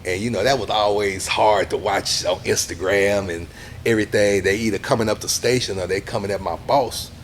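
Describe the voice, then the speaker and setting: A middle-aged man, a radio DJ talking casually in an interview, animated and acting out what other people say. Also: on the low side, brisk, slight background noise. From Texas, USA. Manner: animated, acts out quoted people, says "you know what I'm saying" a lot.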